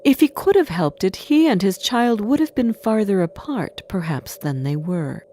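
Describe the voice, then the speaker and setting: A woman reading a scripted storybook tale as narrator, with an expressive, deliberate delivery. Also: mid-range voice, a little hiss or hum.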